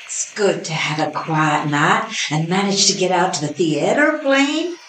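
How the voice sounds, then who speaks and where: A woman's voice: fairly low pitch, lively intonation, a little slow, a bit bright, echoey room, far from the mic, a little hiss or hum, low-quality recording. A woman playing a plucky, brave sidekick in an amateur radio play. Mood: urgent. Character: adult female detective's sidekick, plucky and brave.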